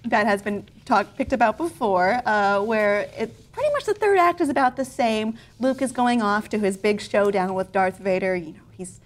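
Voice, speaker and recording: A woman's voice: medium pitch, moderate pace, hardly any pauses. A woman host chatting casually and comically as she explains and introduces things.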